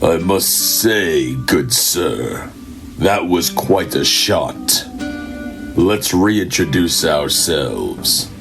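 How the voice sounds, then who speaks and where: A male actor plays an immortal, commanding villain for an audio drama. The voice is extremely deep and heavy with creak, unhurried and haughty. Every phrase is formal and quietly menacing. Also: very animated, music in the background, full character voice. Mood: smug, composed.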